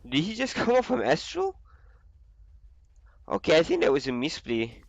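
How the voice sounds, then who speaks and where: A man's voice: on the high side, wildly swooping pitch, gravelly, no echo, highs cut off. Sick young man casting a game. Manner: casual, easygoing, with filler pauses. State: sick with flu. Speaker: esports caster. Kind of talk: solo game commentary.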